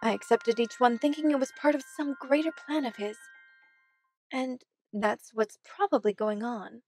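A woman's voice: on the high side, normal speed, darkish tone, breathy edge. A woman voicing a poised, regal young female cat bride in a cartoon comic dub, formal and composed.

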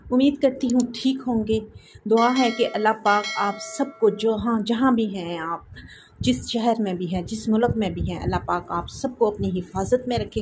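A woman's voice: medium pitch, slight background noise, poor audio. A woman in her thirties with a sore throat, hosting a home cooking vlog and chatting to viewers about life and faith. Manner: warm religious blessings, chatty reflective. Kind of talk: casual advice chat to viewers. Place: home kitchen, indoors.

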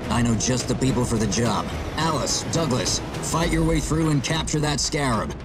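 A man voices a steady, middle-aged human starship captain who gives orders calmly and firmly. His voice sits at an ordinary pitch. A loud orchestral score plays beneath him in this video game cutscene. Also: normal speed, lightly acted. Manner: calm, firm commanding orders. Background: loud orchestral music.

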